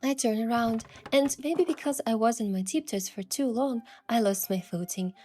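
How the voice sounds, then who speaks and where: A woman voicing a curious young adventurer heroine, telling the story in the first person with earnest, expressive delivery. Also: a little high, normal speed, close to an everyday voice. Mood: narrating, gentle. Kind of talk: scripted first-person narration and dialogue. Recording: music behind the voice.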